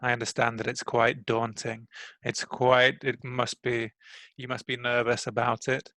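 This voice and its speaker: Patient male English coach, bright voice. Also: medium pitch, monotone, unhurried, dry recording. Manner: patient, encouraging, carefully paced explanations. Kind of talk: tutoring conversation.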